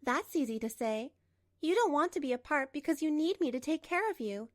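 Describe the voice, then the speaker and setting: A woman playing a composed betrayed wife in a manga dub, narrating and speaking with measured, pointed delivery. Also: sits high, animated, dry recording, clean studio sound. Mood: firm. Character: betrayed wife, composed, coolly resolute, narrating her story.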